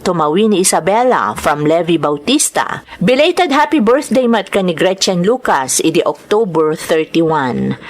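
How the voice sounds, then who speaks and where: A woman with an Ilocano accent reading from a script as host of a radio drama program. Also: fairly low pitch.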